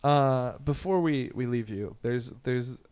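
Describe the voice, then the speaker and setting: Casting: mid-pitched male podcast host, dry wisecracks, casual. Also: takes it slowly, darkish tone, clear, resonant tone. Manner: joking, wry asides. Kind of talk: casual chat.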